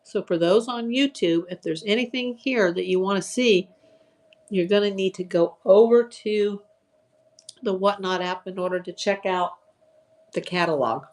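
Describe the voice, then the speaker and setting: A woman hosting a live online auction stream, chatting casually, greeting viewers by name and listing items for sale. Her voice is getting tired and a little hoarse from hours of talking. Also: mid-range voice, normal speed, a little mellow. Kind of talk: casual live-selling chat.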